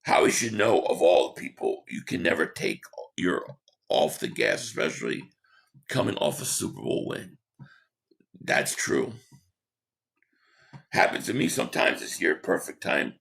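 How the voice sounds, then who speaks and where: A man hosting a sports talk livestream, hoarse and losing his voice, reading chat comments and giving rambling, opinionated takes. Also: rumbling low, sing-song, unhurried, long pauses, a bit bright. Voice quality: hoarse, gravelly. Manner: rambling, opinionated, repeats himself.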